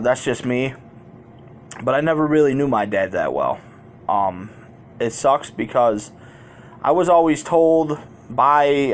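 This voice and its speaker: Casual, rambling man telling personal stories. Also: medium pitch. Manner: rambling, candid, colloquial. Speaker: YouTube vlogger. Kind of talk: casual personal storytelling to viewers.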